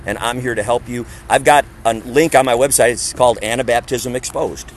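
A man's voice: mid-range voice, brisk, a little hiss or hum. A man preaching outdoors to a small group, talking emphatically, posing rhetorical questions and acting out other people's voices. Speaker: street preacher. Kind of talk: conversational street preaching. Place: outdoors in a parking area.

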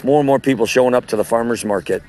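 A man, a street preacher with a loud voice, recording an audio message with a phone while walking outdoors at a farmer's market, speaking in a forceful preaching cadence. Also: medium pitch, very fast, slight background noise.

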